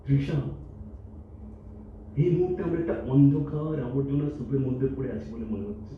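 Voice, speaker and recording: A man's voice: medium pitch, fairly quick, lightly acted. A man acting a hurt young lover, echoey hall. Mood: earnest. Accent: Bengali, Kolkata. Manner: theatrical, emphatic, emotive. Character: hurt, accusing young man lover, earnest and intense, Sougata. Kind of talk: staged audio drama acting. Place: multipurpose hall stage in Kolkata. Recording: echoey hall, distant, muffled, faint noise.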